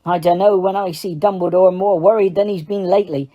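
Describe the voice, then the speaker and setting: A woman's voice: fairly low pitch, fairly even intonation, brisk, lo-fi sound, lightly acted. A woman voicing a huge, hot-tempered male gamekeeper who talks in a blunt, growling way. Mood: indignant.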